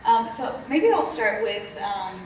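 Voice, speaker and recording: A woman's voice: medium pitch, sing-song, slow pace, slight background noise. A woman from Colombia, a panelist telling her story in a candid, reflective way with frequent ums. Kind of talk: panel discussion, personal story. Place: conference stage. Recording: echoey hall, distant mic.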